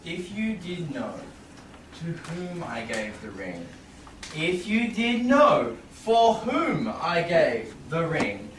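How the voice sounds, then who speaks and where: A man's voice: a little high, very animated, slow pace. A young man playing an earnest, guilt-ridden noble husband, pleading in a staged Shakespeare reading. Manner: earnest, imploring. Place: small indoor theatre stage. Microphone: far audience camera. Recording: roomy, noisy distant audience camera.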